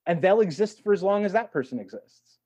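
A man speaking as an interview guest, an actor talking in a thoughtful, reflective way with measured phrasing and emphatic swells. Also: sits high, animated, a little mellow. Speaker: podcast guest, stage and voice actor.

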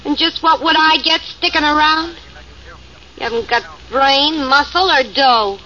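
A wisecracking gangster's moll. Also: female voice, high voice, a little slow, sharp tone, muffled recording. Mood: sardonic. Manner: wisecracking, sardonic. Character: sharp-tongued, cynical gangster's moll. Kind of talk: 1940s radio acting.

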